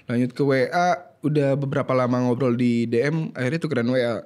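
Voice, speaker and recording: A young man, talking casually as a podcast guest, relaxed and a little hesitant, often repeating his words. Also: medium pitch, brisk. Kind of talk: casual chat.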